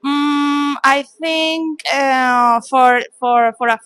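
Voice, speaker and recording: A woman's voice: high voice, fairly even intonation, drawn-out words, a bit bright, no room sound, soft background music. A woman from Venezuela with a Venezuelan accent, giving short, hesitant answers with nervous laughs. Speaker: interviewee.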